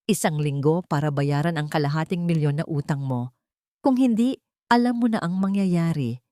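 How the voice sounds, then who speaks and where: A cold male crime boss played by a woman narrator delivers threats in an audio story. The voice is on the low side for a woman and stays emotionless and menacing. The recording is close and dry. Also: moderate pace, warm tone, dry recording, natural voice.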